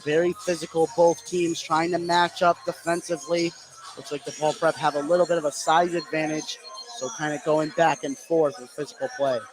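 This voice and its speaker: A man's voice: fairly high pitch, fairly even intonation, moderate pace, over music. An American man giving live play-by-play radio commentary on a basketball game. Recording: clearly noisy gym ambience.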